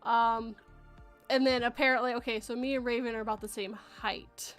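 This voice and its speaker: A woman's voice: fairly high pitch, little pitch movement, normal speed, a bit bright, faint music behind. A Canadian woman in her thirties hosting a casual chat show, talking loosely and laughing as she reads out chat messages. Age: 30s. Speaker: podcast host reading chat.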